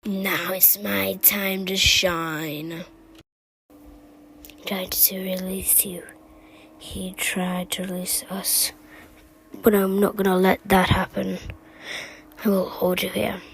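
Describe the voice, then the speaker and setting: Woman voicing a hushed, gravelly, vengeful animatronic captor. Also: mid-range voice, slight background noise, poor audio.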